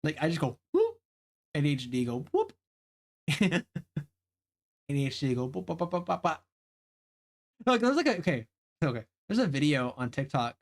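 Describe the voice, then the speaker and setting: A man's voice: on the high side, wildly swooping pitch, normal speed, pauses often. A man with a light Southern accent, chatting rambly on a live game stream. He restarts his sentences, laughs often and swears casually. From Southern United States. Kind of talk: casual live-stream chat, reading game dialogue aloud.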